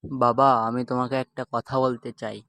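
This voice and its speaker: A man voicing a plain-spoken, lovelorn young man in a comic animated skit. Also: mid-range voice, moderate pace, no room sound. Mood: matter-of-fact. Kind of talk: animated comedy skit dialogue.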